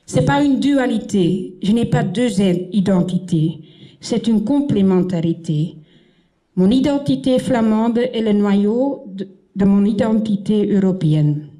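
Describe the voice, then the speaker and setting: A Flemish woman whose first language is Dutch, reading a prepared conference speech in a halting way and often correcting herself. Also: medium pitch, animated, warm tone, poor audio. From Flanders, Belgium. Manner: halting, with frequent self-corrections and restarts. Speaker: former politician giving a conference speech. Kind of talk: prepared speech read from paper. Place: conference in Paris.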